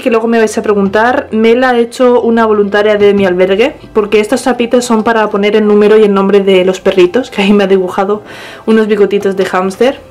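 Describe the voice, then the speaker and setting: A woman's voice: mid-range voice, darkish tone. A young woman, chatting casually through a home tour, often correcting herself, filmed on a small handheld camera in her bedroom. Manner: chatty, restarts and self-corrections. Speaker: pet vlogger.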